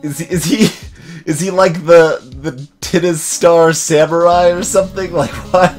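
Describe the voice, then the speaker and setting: A man's voice: fairly high pitch, fairly even intonation, measured pace, faint music behind. Man musing aloud over a video game. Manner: musing aloud, repeating phrases. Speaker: let's play host. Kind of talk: casual game commentary, reading dialogue aloud.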